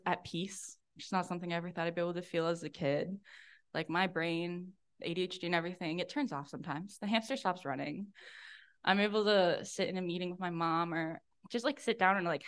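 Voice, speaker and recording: A woman's voice: fairly low pitch, fairly bright tone, clean tone. A young adult woman giving an informal personal testimony at a support-group meeting, candid and conversational, often saying "like".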